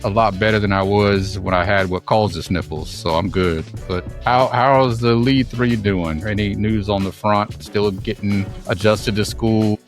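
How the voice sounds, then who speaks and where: A man's voice: on the low side, fairly even intonation, unhurried, hardly any pauses, faint music behind. A man co-hosting a podcast in casual, playful chat, a little stuffy with a cold. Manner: playful, joking, laughs often. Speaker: podcast co-host.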